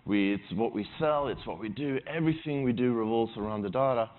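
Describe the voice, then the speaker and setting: A man's voice: medium pitch, a little fast, phone-quality sound. A man from Sweden with a Swedish accent, giving a conversational, self-deprecating tech conference talk on stage. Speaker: conference speaker.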